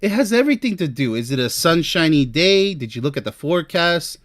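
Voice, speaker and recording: A man's voice: fairly high pitch, very animated, brisk, a bit bright, no echo, studio quality. A man giving informal relationship advice as a host, chatty and emphatic, speaking straight to the listener. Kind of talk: informal advice talk to camera, ranking topics.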